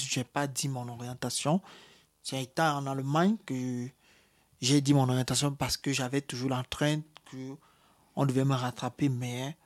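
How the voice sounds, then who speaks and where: Hesitant man with a Cameroonian accent. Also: mid-range voice. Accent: Cameroonian French. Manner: hesitant, many fillers and restarts. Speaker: interviewee, asylum seeker. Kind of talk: personal testimony in an interview.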